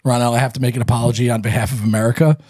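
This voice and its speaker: A man's voice: medium pitch, level tone, racing, a little mellow. A man co-hosting a podcast over drinks, telling a story in a playful, insistent way. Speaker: podcast co-host. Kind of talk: casual drinking banter.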